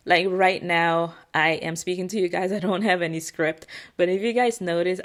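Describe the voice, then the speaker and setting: A young woman vlogging to camera with a Filipino accent, her voice pitched fairly low with a gravelly edge. She talks off the cuff, looping back with "like" and restarts. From Philippines. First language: Tagalog. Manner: chatty, restarts and "like" fillers, laughs often. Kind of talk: casual unscripted chat to camera.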